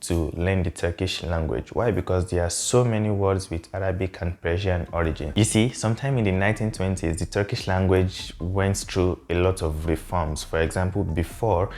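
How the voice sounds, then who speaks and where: A young man in his twenties whose first language is Yoruba, explaining things to camera in a relaxed, friendly way and slowing down to spell out words. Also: sits low. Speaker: language vlogger. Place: small indoor room. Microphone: lapel mic.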